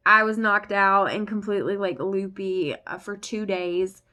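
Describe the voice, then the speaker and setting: A woman's voice: medium pitch, a little slow, bright, forceful tone. A young woman vlogging casually, rambling with lots of fillers, her voice still congested from the flu. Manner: chatty, rambling with many fillers. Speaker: family vlogger. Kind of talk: casual chat to camera.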